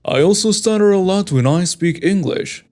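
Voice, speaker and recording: A man narrating online stories in a steady, even read, his voice sitting fairly high for a man. Soft background music plays faintly under the narration. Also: normal speed. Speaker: story narrator.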